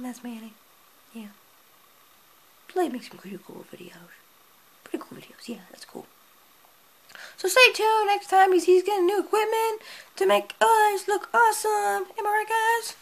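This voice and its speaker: A small, friendly hedgehog voiced by a performer with an extremely high, squeaky voice that has a gravelly edge. He rambles and stumbles over his words and keeps restarting his sentences. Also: normal speed, pauses often, rough recording. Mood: cheerful. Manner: squeaky, rambling, fumbling speech.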